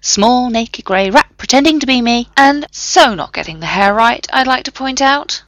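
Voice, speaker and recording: A woman voicing an exasperated male soldier, snapping urgently and wryly, her voice pitched well above her own. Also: animated, moderate pace, a bit bright, dry recording. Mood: indignant.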